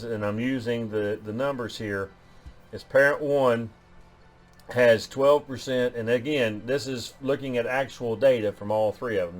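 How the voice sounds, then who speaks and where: A man hosting a genealogy channel, talking through his DNA numbers in a loose way, often restarting phrases. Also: on the low side. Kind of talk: explaining DNA results on screen.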